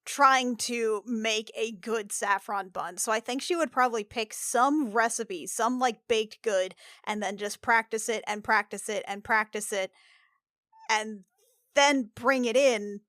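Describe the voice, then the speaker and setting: Woman, fairly high voice, very dry recording, casual podcast chat, thinking aloud. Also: unhurried, fairly bright tone, no echo. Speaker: podcast panelist.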